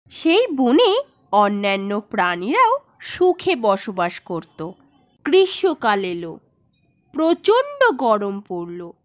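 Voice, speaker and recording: Slow, highly animated female storyteller. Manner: expressive, dramatic storytelling. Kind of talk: scripted fable narration for a cartoon.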